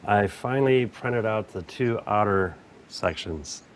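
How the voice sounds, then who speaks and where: A man's voice: on the low side, unhurried, a little hiss or hum. A man chatting casually on a podcast, laid-back and laughing easily as he shows off a model he built. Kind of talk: casual chat.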